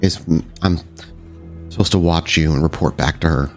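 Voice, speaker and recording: A man voicing a worried, loyal young woman in a tabletop roleplay, halting and blunt, exasperated but affectionate. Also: a bass voice, faint music behind, pitched below the performer's own voice. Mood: worried, earnest.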